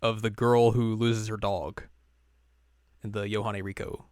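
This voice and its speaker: A man's voice: on the low side, flat delivery, measured pace, a little mellow, smooth, dry recording, studio quality. A man co-hosting a podcast in casual chat, rambling with lots of fillers and frequent laughs. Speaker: podcast co-host.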